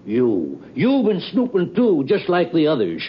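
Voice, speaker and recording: An actor playing a furtive, paranoid, uneducated building janitor who stammers defensively, in 1950s radio drama style. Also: male voice, fairly high pitch, wildly swooping pitch, measured pace, husky, exaggerated voice. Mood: defensive, accusing. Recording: dull, slightly noisy old radio recording.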